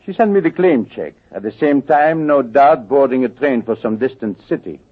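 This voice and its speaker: Slick french crook from an old radio show, oily polite, voice swoops around, tinny sound. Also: male voice, medium pitch, expressive, normal speed, breathy edge. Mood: smooth, smug. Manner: oily, mock-polite, hesitant formal phrasing. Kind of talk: 1940s radio drama acting.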